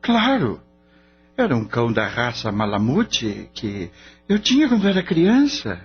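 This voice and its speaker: A man's voice: mid-range voice, wildly swooping pitch, unhurried. An actor playing a caring young male veterinarian in a radio drama. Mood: curious. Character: caring young veterinarian devoted to his dog. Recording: dull lo-fi radio drama with music.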